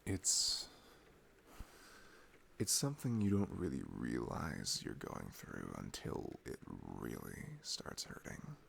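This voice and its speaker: A man playing an affectionate, reflective boyfriend in an intimate ASMR roleplay, speaking softly and tenderly with hesitant pauses. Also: very deep voice, huge pitch swings, gravelly, a little hiss or hum. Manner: soft, hesitant, tender, pausing between phrases.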